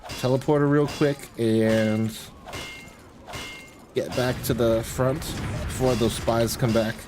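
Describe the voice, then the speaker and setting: A man's voice: mid-range voice, steady tone, takes it slowly, background noise. A man streaming a video game, giving casual live commentary with mild, exclamatory grumbling. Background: video game sounds.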